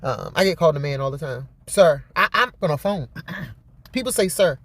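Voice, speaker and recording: A woman with a deep voice giving blunt, profane, rambling commentary on a news story, laughing often. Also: animated, normal speed, husky, no echo. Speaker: vlogger.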